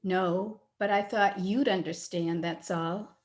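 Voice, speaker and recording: A woman's voice: medium pitch, steady tone, takes it slowly, close to an everyday voice. A woman playing a headstrong, lovestruck young daughter in an old-time radio drama, earnest and a little petulant. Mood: let down. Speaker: actor in an amateur radio play. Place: at home, recorded over video call.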